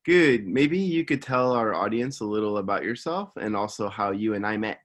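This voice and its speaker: A man hosting a podcast interview, talking in a relaxed, hesitant way with fillers. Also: fairly low pitch, normal speed. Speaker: podcast host. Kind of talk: casual interview chat. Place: Japan.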